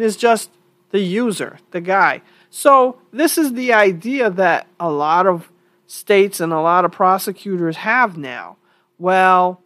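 A woman who hosts her own podcast talks slowly and deliberately through a solo opinion monologue. She is still a little congested from a cold. Her tone is conversational and opinionated, and she thinks aloud as she goes. The recording is dry and close, with no room sound. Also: lowish voice, no echo. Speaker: podcast host.

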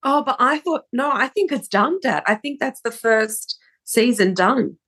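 A woman's voice: on the high side, lively intonation, measured pace, a bit bright, breathy edge. A woman, chatting casually as co-host of a TV review. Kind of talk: casual chat.

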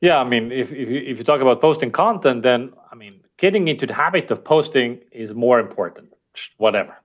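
A man's voice: medium pitch, expressive, fairly quick, highs cut off. A man whose first language is Estonian, a podcast guest speaking thoughtfully with fillers and restarts. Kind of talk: casual interview talk.

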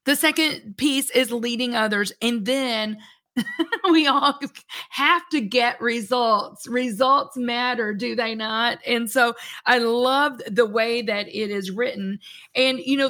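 A woman's voice: a little high, slow pace, sharp tone. A woman hosting a podcast interview, enthusiastic and encouraging toward her guest. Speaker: podcast host. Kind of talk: casual interview talk.

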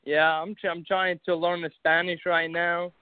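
A man's voice: fairly high pitch, flat delivery, moderate pace, clear, resonant tone, no room sound. A young man with an Arabic accent, a guest in a casual interview, talking hesitantly with many fillers and restarts. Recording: phone-like narrow sound, dry.

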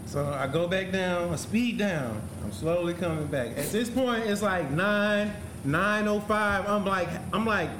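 An American man telling a profane, animated story in casual chat, repeating phrases for effect. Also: high-pitched, measured pace, hardly any pauses. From United States. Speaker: podcast co-host telling a story. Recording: echoey room with background noise.

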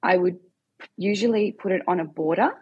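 A woman's voice: fairly low pitch, normal speed, breathy edge, muffled recording. A woman, chatting as a podcast guest, talking freely with frequent fillers and easy laughter. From South Africa, raised in Australia. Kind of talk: casual interview chat.

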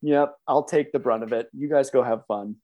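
A man's voice: mid-range voice, moderate pace, darkish tone, highs cut off. An American man from the Chicago suburbs chatting casually as an interview guest, in a self-deprecating, chuckly way. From suburban Chicago, United States.

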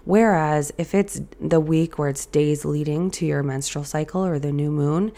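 A woman hosting her own podcast in a casual, chatty way, her voice raspy and hoarse after an illness, with many ums and restarts. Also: sits low, normal speed, mellow. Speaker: podcast host. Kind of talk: casual solo podcast talk.

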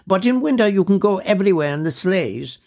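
A man voicing an elderly officer's widow, chatty and cheerful, in a high fluttery voice, for a spoken story. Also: sing-song, a little fast, vocal fry, a little mellow, heavily acted. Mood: reminiscing.

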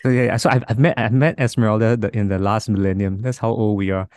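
Low-voiced halting man hosting a podcast. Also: fairly even intonation, darkish tone, dry recording. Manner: halting, many restarts and fillers. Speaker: podcast host.

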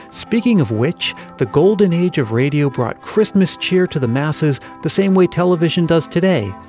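A man's voice: mid-range voice, darkish tone. An American man narrating a scripted podcast as its host. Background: faint music.

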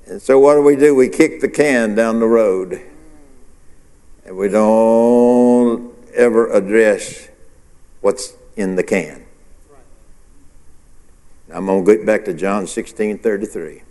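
A man's voice: on the low side, takes it slowly, pauses often, warm tone, long reverb tail. A male pastor preaching a sermon, asking the congregation questions and answering them himself. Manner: preacherly call-and-response, rhetorical questions.

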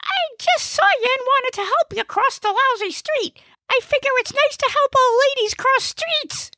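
A woman's voice: extremely high pitch, lively intonation, moderate pace, bright, forceful tone, no echo, voice pushed up, full character voice. A woman voicing a bossy, cranky small male alien in an audiobook, talking brashly in folksy slang with mangled big words. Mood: indignant. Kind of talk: audiobook character voice.

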